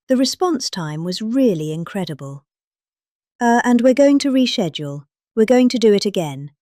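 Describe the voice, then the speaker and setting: A woman's voice: medium pitch, a little mellow, no echo, clean studio sound. A middle-aged woman with a British accent, giving a dramatized reading of a spoken statement. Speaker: reader of a political statement.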